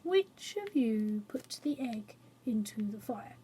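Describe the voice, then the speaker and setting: A woman acts out a magical golden phoenix from a children's storybook. The bird is proud and grave, speaking in a high, breathy, stately voice. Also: lively intonation, takes it slowly, dark tone, heavily acted. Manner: grave, stately, self-important.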